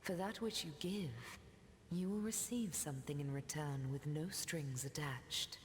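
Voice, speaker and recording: An actress playing an ancient mystical seer in a fantasy game, speaking solemn, cryptic, archaic lines in a breathy voice that often sinks toward a whisper. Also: female voice, sits low, measured pace, a little hiss or hum. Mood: grave, tender. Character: ancient mystical female seer who weaves threads of time. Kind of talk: scripted fantasy game dialogue. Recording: long cavernous reverb.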